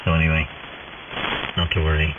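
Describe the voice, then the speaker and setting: A middle-aged man talks in a rambling, hesitant way as a radio amateur. His voice is deep and comes through a narrow shortwave link, with steady static around it. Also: brisk, far from the mic. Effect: shortwave radio transmission. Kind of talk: casual radio chat. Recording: muffled, narrow-band radio with clear static hiss.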